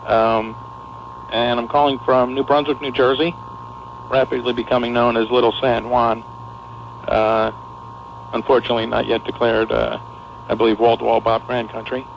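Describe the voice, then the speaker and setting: A man phones in to a talk radio show, his mid-pitched voice gravelly and full of fry as he hesitates and restarts his sentences. It comes through muffled AM radio audio with a light hiss. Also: flat delivery, normal speed, long pauses. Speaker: radio caller.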